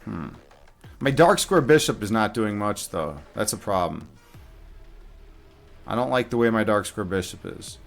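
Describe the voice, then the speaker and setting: A man's voice: lowish voice, lively intonation, measured pace, gravelly, long pauses, soft background music. A man giving casual live commentary on his own game as a streamer, muttering half-finished thoughts to himself as he thinks aloud.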